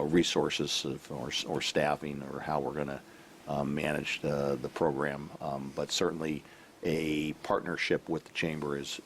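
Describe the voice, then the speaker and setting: A man giving a halting report at a council meeting, full of fillers and restarts, while losing his voice. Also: extremely low pitch. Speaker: city staff member. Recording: faint background noise from a live stream.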